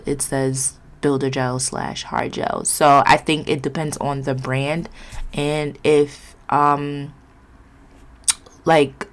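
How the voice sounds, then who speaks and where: A woman with a low, gravelly voice that sounds stuffed up and nasal from a cold. She chats through her nail routine in a loose, rambling way, full of fillers. Her tone stays candid and unpolished. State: congested, sniffly, nasal. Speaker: nail vlogger. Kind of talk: casual chatty tutorial vlog.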